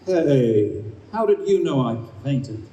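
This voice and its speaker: A man's voice: on the high side, big pitch swings, slow pace, warm tone, lo-fi sound. An actor playing an anxious, indignant father awaiting rebirth, in an earnest, exclaiming old-time radio style. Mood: anxious chatter. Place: live performance in a convention room. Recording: roomy, distant live stage recording, faint noise.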